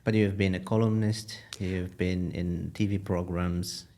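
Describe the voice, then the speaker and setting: A man hosting a podcast, asking halting questions and giving soft short acknowledgments. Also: deep voice, fairly even intonation. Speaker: podcast host. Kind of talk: podcast interviewing.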